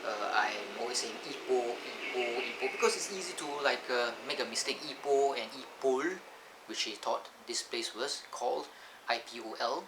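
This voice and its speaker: A Malaysian man at a middling pitch talks loosely to his camera, wandering off topic and stopping to fix his own words. The camcorder's built-in mic thins out his voice and strips away its bass. A clear hum of background noise runs under the whole recording. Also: normal speed. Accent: Malaysian English. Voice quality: thin sound, lacking bass. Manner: rambling, digressive, self-correcting. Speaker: vlogger. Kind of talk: casual rambling vlog talk.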